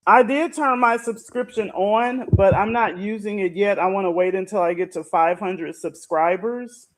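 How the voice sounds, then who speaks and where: A man's voice: high voice, moderate pace, low-quality recording. A man explaining things casually as a podcasting guest.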